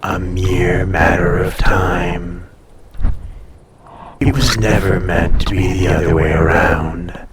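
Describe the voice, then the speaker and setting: A man voicing an ancient, haughty, possessive dragon in an intimate audio roleplay, speaking formally and deliberately with a breathy, softly menacing, seductive delivery. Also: sits low, level tone, roomy sound, distant mic, over a noisy crowd. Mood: condescending, teasing.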